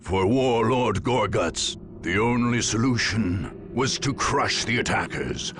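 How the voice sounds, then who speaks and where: A man delivering dramatic scripted game narration in a grave, gravelly storytelling manner. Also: on the low side, lively intonation, slow pace. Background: background music.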